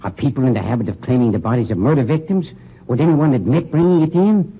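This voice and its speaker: A man's voice: medium pitch, fast talker. An actor playing a polished, scheming funeral director who talks down to his night man, in 1940s radio drama style. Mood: menacing. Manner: smooth, condescending, sneering. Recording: dull, noisy old radio recording.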